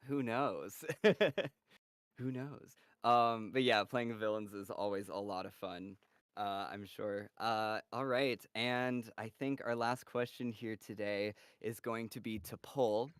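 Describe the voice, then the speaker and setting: A man's voice: fairly low pitch, fairly even intonation, smooth, dry recording, clean studio sound. A man hosting a live-stream Q&A, reading fan questions in an upbeat, casual way. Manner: upbeat, casual, quick to laugh. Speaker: host, moderator of the Q&A.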